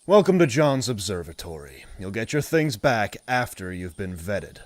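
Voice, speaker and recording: A man playing a gruff, suspicious wasteland security guard in an audio drama, curt and commanding. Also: fairly low pitch, sing-song, normal speed, dry recording. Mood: stern, suspicious. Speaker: voice actor.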